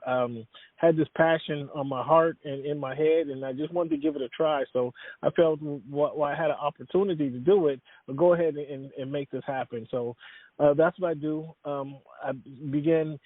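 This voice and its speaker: A man's voice: a little high, no room sound. A man being interviewed about his work as a sports writer, talking in a rambling way with many fillers and restarts. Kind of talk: casual interview talk. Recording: dry, tinny phone-like line.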